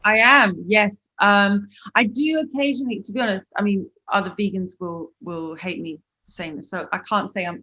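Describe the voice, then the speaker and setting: A woman's voice: mid-range voice, fairly even intonation, normal speed, hardly any pauses, pushed and bright, lo-fi sound. A woman from Switzerland, a musician guest chatting casually and bubbly in an interview.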